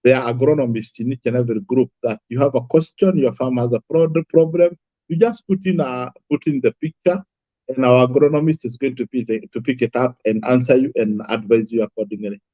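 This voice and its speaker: A man's voice: medium pitch, steady tone, brisk, mellow, no room sound. Easygoing Kenyan man on a dull video call. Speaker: guest from an apple farm. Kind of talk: casual interview talk.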